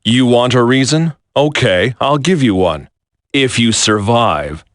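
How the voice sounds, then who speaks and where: An actor playing a grave military commander turned traitor in a video game, solemn, deliberate and resigned to fate. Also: male voice, medium pitch, lively intonation, dry recording, clean studio sound, close to an everyday voice. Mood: stern, challenging. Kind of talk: dramatic scripted video game acting.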